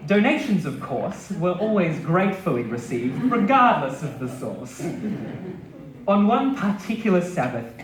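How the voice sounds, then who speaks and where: A man giving a measured, deliberate dramatic reading of a scripted memoir, pausing between phrases. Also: sits high, very animated. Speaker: reader at a literary stage event. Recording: roomy, echoing live venue.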